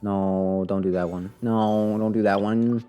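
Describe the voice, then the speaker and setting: A man's voice: on the low side, steady tone, a little slow, clear, resonant tone, dull audio, soft background music. A man doing casual live game commentary, grumbling wryly and talking to himself and the game. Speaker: game streamer. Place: live stream, game music behind the voice.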